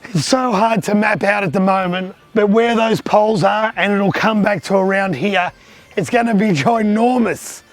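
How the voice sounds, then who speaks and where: A hoarse man who has lost his voice, giving a chatty, upbeat vlog tour of his arcade collection. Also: high voice, moderate pace, soft background music. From Australia. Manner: chatty, upbeat, pointing things out.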